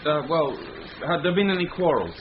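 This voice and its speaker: Polite sergeant, lively pitch. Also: male voice, moderate pace. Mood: earnest, polite. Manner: courteous, deferential. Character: polite, earnest, obliging male police sergeant. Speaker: police sergeant. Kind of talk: British radio drama acting. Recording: dull, noisy radio drama recording.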